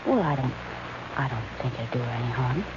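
A woman's voice: extremely low pitch, lively intonation, fairly quick. A woman playing a level-headed, caring female companion to a detective, practical and a little hesitant, in 1940s radio style. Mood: casual, concerned. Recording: noisy, muffled old-time radio recording.

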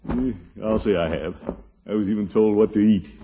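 An actor playing a fussy, blustering middle-aged uncle in a 1950s radio sitcom, pompous and husky, given to hemming and chuckling. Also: male voice, lowish voice, moderate pace, mellow, a little hiss or hum, close to an everyday voice. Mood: irritable. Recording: dull, lo-fi old radio recording.

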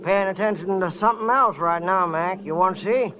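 A dull, faintly noisy vintage radio recording carries an actor playing an idle male hotel clerk. He is high-pitched, indifferent and wisecracking, with a leering streak. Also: a little fast, natural voice. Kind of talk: old-time radio drama acting.

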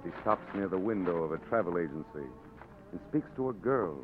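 A man narrating a 1940s radio drama in a solemn, portentous manner, with deliberate phrasing. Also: sits low, expressive. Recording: tinny 1940s radio recording, music behind.

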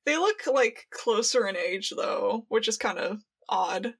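A young woman co-hosting a podcast in casual fan chat, giggly and excitable, and swearing freely. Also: a little high, measured pace, slightly rough. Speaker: podcast co-host. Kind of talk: casual fan discussion of audio dramas.

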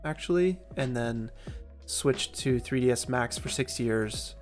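A man's voice: medium pitch, unhurried, faint music behind. A young man hosting a live online interview, casual and enthusiastic, with laid-back slang. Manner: upbeat, gushing praise, laid-back slang. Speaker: livestream host.

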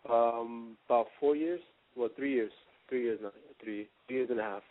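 A man's voice: mid-range voice, moderate pace. A man in his early twenties from the Dominican Republic, a rapper chatting casually as an interview guest over a phone line. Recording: tinny, narrow phone line.

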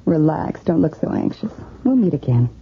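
A woman's voice: low-pitched, breathy edge, heavily acted. An actress playing a mysterious, seductive woman in a radio drama, teasing and sultry. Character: mysterious seductive woman who drains her lovers. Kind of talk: 1980s radio drama acting. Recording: dull lo-fi radio broadcast with noise.

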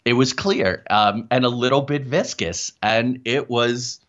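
A middle-aged man telling a personal story as an interview guest, haltingly and correcting himself as he goes. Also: medium pitch, a bit bright. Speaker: guest, paranormal investigator. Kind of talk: conversational personal anecdote. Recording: dull, lo-fi sound.

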